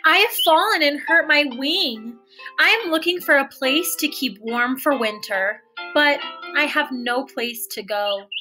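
A woman's voice: high-pitched, lively intonation, measured pace, music in the background. A woman voicing a small injured female bird, polite and pleading, in a storybook. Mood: grateful.

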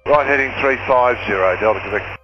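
A man speaking as a pilot over aviation radio, giving terse, hesitant readbacks. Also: medium pitch. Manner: hesitant, halting replies. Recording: dull cockpit radio, music behind.

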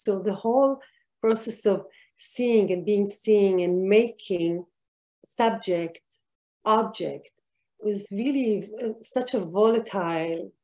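A middle-aged woman from Israel speaks slowly and reflectively over a video call, pausing often with ums and restarting her sentences. Her voice is warm and dark in tone, at an ordinary middle pitch. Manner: hesitant, many fillers and restarts. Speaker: artist guest. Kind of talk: reflective interview answers.

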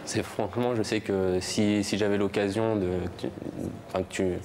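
Halting young man with a cold. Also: fairly low pitch, steady tone. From Normandy. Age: young adult. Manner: hesitant, halting, many restarts and fillers. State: has a cold. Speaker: guest declaring his love. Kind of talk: emotional on-stage confession. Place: large TV studio with audience. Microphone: lapel mic. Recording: studio with clear background noise.

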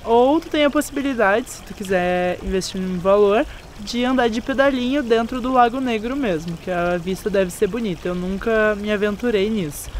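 A young woman from Brazil narrating a travel vlog in a calm, descriptive way, speaking outdoors at scenic spots. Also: mid-range voice, normal speed, hardly any pauses, slight background noise. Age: young adult. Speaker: travel vlogger. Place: outdoors on a windy overlook and city streets. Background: background music.

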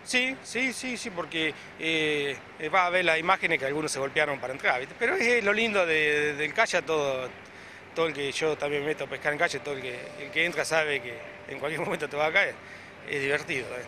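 A man's voice: on the high side, fairly quick, bright, forceful tone. A man in his forties with an Argentine Spanish accent, a club president talking casually into a handheld mic outdoors, with lots of fillers and restarts. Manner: chatty, many "eh" fillers and restarts. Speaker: club president, event organizer. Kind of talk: casual on-camera interview. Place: outdoors by a beach, in front of hedges. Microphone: handheld reporter mic close below chin. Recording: clear background noise outdoors.

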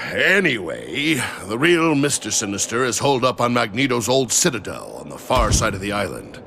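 An actor playing a stern, impatient middle-aged cyborg soldier from the future in a video game, gruff and gravelly. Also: male voice, mid-range voice, big pitch swings, moderate pace, bright, forceful tone, slight background noise. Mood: grave, urging. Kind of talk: video game cutscene acting. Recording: game music behind the voice.

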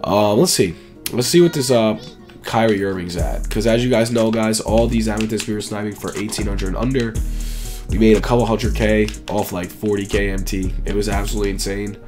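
Male gaming YouTuber, 20s, raspy and sore-throated from flu, informal slangy commentary, music behind. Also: lowish voice, fairly quick, darkish tone. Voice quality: raspy, dry voice. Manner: slangy, informal, repetitive emphasis. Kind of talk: casual gaming video commentary.

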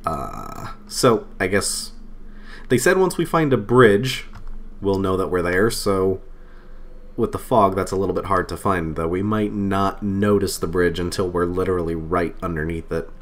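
Man, lowish voice, getting over a cold, casual let's play chatter, thinking aloud, self-deprecating. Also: unhurried. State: recovering from a cold. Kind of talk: casual gameplay commentary. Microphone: desk microphone.

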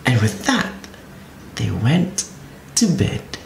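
Man storyteller for kids, very animated read-aloud, unhurried delivery, echoey room with faint hiss. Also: mid-range voice, vocal fry. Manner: expressive, very animated storybook reading. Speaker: children's storyteller. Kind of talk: reading a picture book aloud to children.

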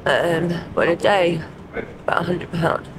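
A woman's voice: on the low side, steady tone, a little slow. A woman with a South London accent giving short, hesitant, plain answers in a subdued street interview. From Croydon, South London, England. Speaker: homeless interviewee. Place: outdoors in a city doorway at night. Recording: noisy street with traffic ambience.

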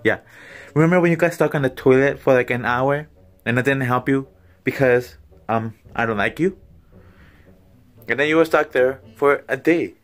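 A man's voice: medium pitch, normal speed, pauses often, natural voice. A man in a small bedroom, filmed on a phone at arm's length, playing a smug, snide woman rival who acts falsely friendly. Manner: snide and gossipy. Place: small cluttered bedroom, indoors. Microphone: phone camera at arm's length.

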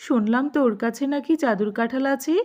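Woman voicing a sly high-pitched tigress aunt. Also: fairly quick, a little mellow, highs cut off, lightly acted. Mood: wheedling, sly. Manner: accusing, wheedling, scheming. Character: greedy, sly tigress aunt, scheming and suspicious. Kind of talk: children's animated fable dialogue.

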